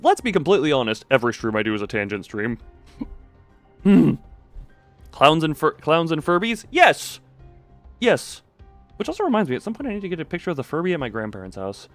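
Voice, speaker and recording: A man's voice: a little high, sing-song, a little fast, pauses often, dry recording, faint music behind. A man hosting a livestream, rambling casually and jokingly as he goes off on tangents and talks back to chat. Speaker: livestream host, streamer.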